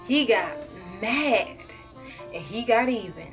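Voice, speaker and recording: A woman reading a children's story aloud as host, expressive and dramatic, drawing out key words for emphasis. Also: on the high side, takes it slowly, lo-fi sound, soft background music. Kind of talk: read-aloud children's picture book narration.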